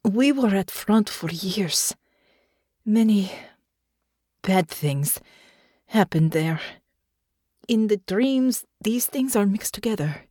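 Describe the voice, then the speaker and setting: Weary, halting woman war veteran, acted by a narrator. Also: mid-range voice, lively intonation, warm tone, no echo, clean studio sound. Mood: haunted. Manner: weary, halting, clipped phrasing with dropped articles. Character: haunted, weary foreign woman war veteran, tender underneath. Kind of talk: audiobook character acting.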